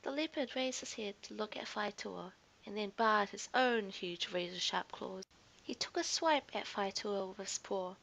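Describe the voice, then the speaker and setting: A woman's voice: mid-range voice, a little slow, vocal fry, a bit bright, poor audio. A woman narrating a scripted children's story expressively, as a storyteller to young listeners.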